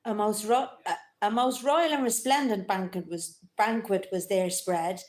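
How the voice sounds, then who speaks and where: A woman's voice: mid-range voice, unhurried, darkish tone. A woman reading grand, declamatory mock-heroic narration at a live event. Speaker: reader. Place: community centre hall, live event.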